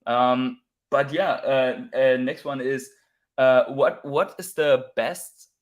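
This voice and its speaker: A man's voice: mid-range voice, fairly even intonation, takes it slowly, lo-fi sound. A man hosting a casual interview chat over a video call, chatty and asking questions with frequent laughs. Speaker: host.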